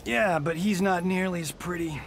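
A man's voice: high-pitched, moderate pace, vocal fry, very noisy. A man playing a vain, cocky movie-star fighter in a video game, smug and flippant. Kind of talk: video game combat intro dialogue. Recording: echoing game scene, music behind.

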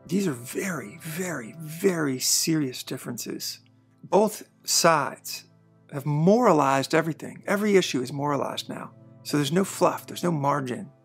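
A man in his forties speaks earnestly to camera in a studio, his voice gravelly with a husky edge. He moves between forceful points and low, quiet asides. Faint music plays underneath. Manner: earnest, conversational, with emphatic bursts and quiet asides. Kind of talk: reflective monologue to camera. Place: dark studio backdrop, indoors.

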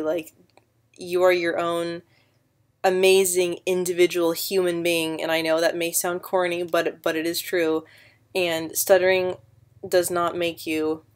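Young woman who stutters, talking on webcam. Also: on the low side. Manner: stutters often, repeating sounds and words; earnest and encouraging. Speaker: vlogger. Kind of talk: casual vlog to camera. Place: living room, seated on a sofa indoors. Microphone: built-in webcam mic.

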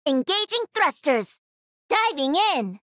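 A woman's voice: high-pitched, sing-song, slow, sharp tone, no echo, clean studio sound, exaggerated voice. A woman voicing a cocky, playful young gamer who pilots a mech in a video game, with punchy, teasing callouts. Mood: confident, upbeat. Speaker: voice actor.

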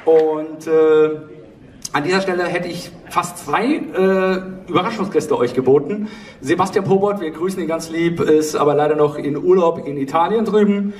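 Higher-voiced man hosting, roomy and distant. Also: moderate pace, a little mellow. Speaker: panel host, audio drama producer. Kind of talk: live stage moderation. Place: theatre stage, indoors. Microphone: handheld mic over PA, recorded from audience. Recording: roomy, distant hall recording with noise.

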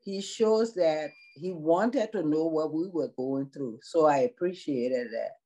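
Slow, mellow-voiced Liberian woman. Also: deep voice, poor audio. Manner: slow, earnest, measured. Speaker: nursing assistant in long-term care. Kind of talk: interview on video call. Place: small room indoors. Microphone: video call.

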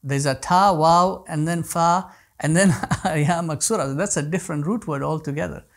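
Man in his thirties, Kutchi native speaker, fairly high voice, explanatory lecture with restarts, lapel mic. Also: moderate pace. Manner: explanatory, many restarts and repeated words. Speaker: teacher. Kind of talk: online class lecture answering questions. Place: small indoor living room.

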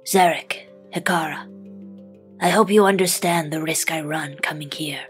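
A woman's voice: sits low, moderate pace, faint music behind, lightly acted. A woman playing a guarded, menacing assassin in an audio drama.

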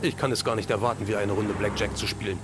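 A man playing an easygoing, businesslike hero in an audio drama, talking in a casual, matey, brisk way. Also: on the low side, steady tone, very noisy, natural voice. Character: easygoing, businesslike male action-series hero.

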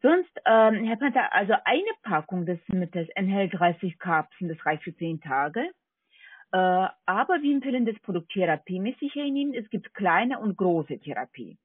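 A woman telemarketer with a foreign accent speaks through a thin telephone line. Her voice is husky and sits at an average pitch. She works through her sales pitch politely but haltingly, often repeating herself as a non-native speaker. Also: big pitch swings, dry recording. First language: not German. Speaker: telemarketing call center agent. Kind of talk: scripted phone sales pitch. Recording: tinny, narrowband phone line.

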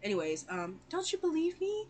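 A woman's voice: high-pitched, huge pitch swings, brisk. A young woman improvising a comedic amateur cartoon dub, slangy and giggly, full of exclamations. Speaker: amateur fan dub performer.

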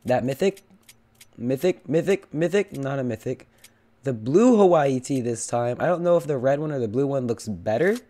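A young man with a hoarse, cracking voice from illness, chatting casually as he opens loot boxes and pleads for better luck. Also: medium pitch, expressive, normal speed, mellow. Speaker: gaming channel host. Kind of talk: casual game commentary.

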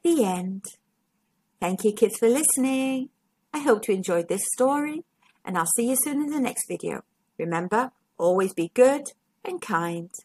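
A woman hosting a children's storytime, reading a picture book aloud in an expressive, emphatic way, speaking directly to young listeners. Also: a little high, moderate pace, slightly rough. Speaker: children's storytime host and narrator.

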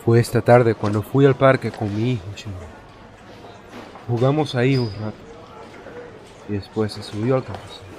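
A man's voice: mid-range voice, fairly even intonation, normal speed, pauses often, a little mellow, slight background noise, natural voice. A man with a Latin American accent playing an anxious, guilt-ridden father in a video game dub. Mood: anxious, subdued. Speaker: fan dub voice actor.

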